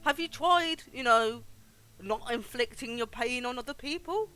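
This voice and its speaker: A man's voice: very high-pitched, a little slow, bright, forceful tone, smooth, low-quality recording. A man giving theatrical, exclamatory, sweary commentary on a game livestream. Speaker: game streamer.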